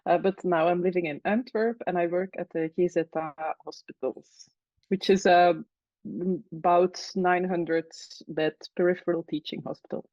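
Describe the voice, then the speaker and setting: A woman from Belgium, an anesthesiologist speaking in a casual interview, hesitant with many fillers and pauses. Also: fairly low pitch, steady tone, no echo, muffled recording. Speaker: anesthesiologist guest.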